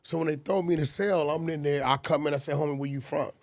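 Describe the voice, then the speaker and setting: A man in his forties telling street stories in casual, animated talk on a podcast. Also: fairly high pitch, quick pace, creaky. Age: 40s. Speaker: podcast guest. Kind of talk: casual storytelling.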